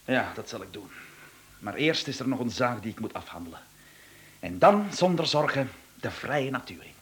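Casting: male actor as agitated businessman and distrustful husband, mid-pitched voice, radio drama. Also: lively intonation, moderate pace, close to an everyday voice. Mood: grim, restrained. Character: agitated, overworked businessman, suspicious betrayed husband. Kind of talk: radio play acting.

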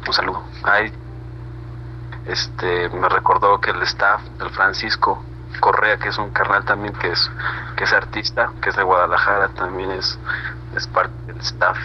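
A man's voice: sits low, flat delivery, unhurried, bright, forceful tone. A Mexican man being interviewed as a band member, chatting casually in a slangy, rambling way with frequent tag questions. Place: remote internet call. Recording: muffled, noisy internet video call.

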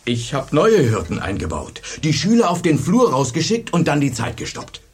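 Proud male teacher recounting events. Radio drama, very husky, lively pitch movement. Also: moderate pace, heavily acted. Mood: animated, proud. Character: male teacher recounting his classroom experiment with pride. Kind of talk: German radio play acting.

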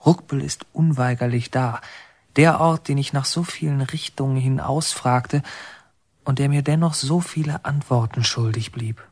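Actor as a young male inmate, husky, breathy, close dry sound, hesitant, pensive, brooding, radio drama. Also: medium pitch, moderate pace, no echo, natural voice. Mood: brooding, introspective. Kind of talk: introspective German radio drama acting.

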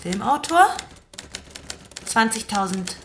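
A woman's voice: medium pitch, lively intonation, slow, slight background noise. A woman acting in a German radio drama as a businesslike wife reading out form entries in clipped phrases. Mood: official, deliberate. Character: businesslike wife filling in an official income questionnaire.

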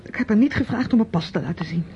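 A woman's voice: medium pitch, expressive, fast talker, mellow, lightly acted. An actress playing an evasive, exasperated niece in her thirties in a radio drama, polite but hesitant and defensive. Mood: defensive, strained. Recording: muffled, noisy old radio play recording.

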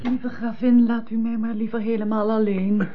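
An actress playing a distressed, guarded noblewoman in a radio play, with a husky, breathy voice. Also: fairly high pitch, level tone, moderate pace, close to an everyday voice. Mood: weary, pleading. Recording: dull lo-fi old radio recording.

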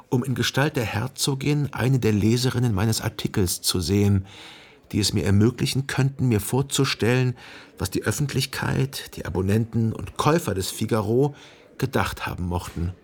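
Radio play lead: observant, reflective gentleman recounting his memories, deep-set husky tone, careful literary phrasing. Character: reflective, observant upper-class Frenchman narrating his memories. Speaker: narrator actor in a radio play. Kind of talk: literary first-person radio drama narration.

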